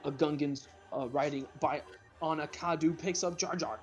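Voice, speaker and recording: A man's voice: a little high, steady tone, normal speed, faint music behind. A man narrating a script informally for an amateur comedy reading, sometimes stumbling over the words.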